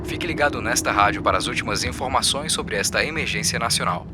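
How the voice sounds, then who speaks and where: An actor playing an urgent 1940s radio news announcer reading a bulletin in a radio drama. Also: male voice, medium pitch, quick pace, lightly acted. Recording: very noisy old radio bulletin.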